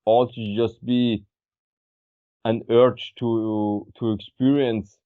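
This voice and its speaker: A man's voice: medium pitch, little pitch movement, slow pace, clear, resonant tone. A man co-hosting a casual podcast, talking in a rambling way with many restarts as he searches for words. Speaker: podcast co-host. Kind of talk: casual philosophical chat. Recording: tinny phone-like sound.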